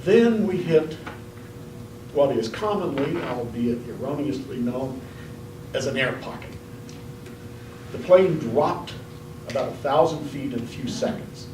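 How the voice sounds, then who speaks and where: A man telling a tall tale as a speech contestant, in a measured, deadpan comic storytelling style. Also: mid-range voice. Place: indoor room at a speech contest. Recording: roomy, distant mic, faint noise.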